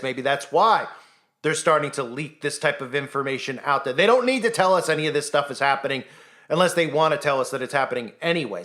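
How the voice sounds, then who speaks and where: A man's voice: a little high, a bit bright. A man giving informal online commentary in an insistent, rhetorical way, often tagging statements with a questioning check. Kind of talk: informal conspiracy commentary monologue.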